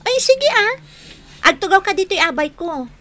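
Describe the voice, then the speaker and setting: A woman's voice: extremely high pitch, big pitch swings, moderate pace, pushed and bright, heavily acted. A woman playing a perky, teasing young student friend in a radio drama, with a Filipino accent. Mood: playful, excited. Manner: teasing, playful.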